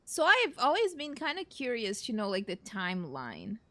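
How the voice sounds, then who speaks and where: A woman's voice: fairly high pitch, huge pitch swings, moderate pace, pushed and bright. A woman doing casual, chatty and rambling let's play commentary as a gaming streamer. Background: faint game music.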